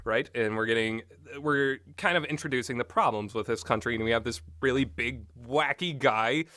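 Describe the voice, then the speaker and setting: A man reviews games in a loose, unscripted ramble. His voice is bright and sharp-edged, with an easily amused manner. The sound is dry, with no room around it. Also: mid-range voice, moderate pace, no echo. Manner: rambling, often breaks into amused laughter. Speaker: video game reviewer.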